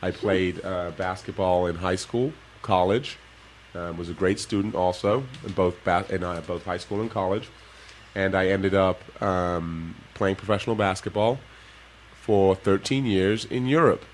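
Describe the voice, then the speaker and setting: A man, a former professional basketball player, telling his life story to kids in a relaxed way and laughing often. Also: low voice, measured pace, slight background noise. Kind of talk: casual interview talk to kids.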